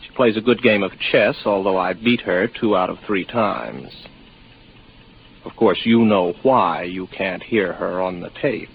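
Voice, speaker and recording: Low-voiced weary spaceman, old radio. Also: measured pace, vocal fry. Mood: confiding, weary. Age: 20s. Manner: wry, musing, sardonic. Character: weary lonely young spaceman, wry, rambling, half-mad. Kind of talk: 1950s radio drama acting. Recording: noisy muffled 1950s radio recording.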